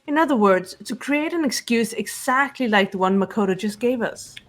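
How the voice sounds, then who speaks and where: A woman's voice: a little high, animated, normal speed, close to an everyday voice. Composed teenage girl detective, voiced by a woman. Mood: explaining. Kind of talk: fan-voiced visual novel game dialogue. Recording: music behind the voice.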